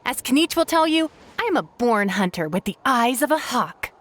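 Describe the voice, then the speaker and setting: Confident game huntress, high voice, bragging tone, faint noise behind. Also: female voice, big pitch swings, normal speed. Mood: confident, boastful. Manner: cocky, self-assured. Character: confident, boastful, spirited young huntress. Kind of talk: video game voice acting.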